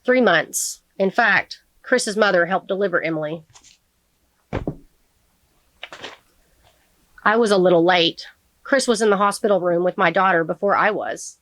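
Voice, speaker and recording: A woman reading the part of a grieving, protective middle-aged father who testifies in court in a measured, sincere audiobook manner. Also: on the low side, a bit bright, slight background noise, natural voice. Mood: earnest.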